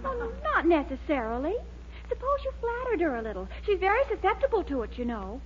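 A woman's voice: very high voice, big pitch swings, brisk. An actress playing a sweet, encouraging young American woman in a 1940s radio sitcom, coaxing and earnest. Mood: coaxing, practical. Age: young adult. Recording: dull old radio recording, faint hum.

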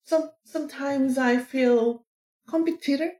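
A woman from South Korea with a Korean accent, a native Korean speaker answering interview questions casually and haltingly, searching for words. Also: sits high, warm tone. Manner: halting, searching for words in second-language English. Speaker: interview guest, plus-size model.

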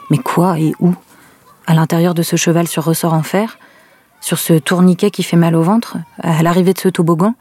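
An anxious but gentle mother in a radio play. Her voice is soft and slightly husky. She speaks reflectively, with a quiet, pleading care. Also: lowish voice. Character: anxious, gentle mother coaxing children to take tablets. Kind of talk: French audio fiction, interior monologue and dialogue.

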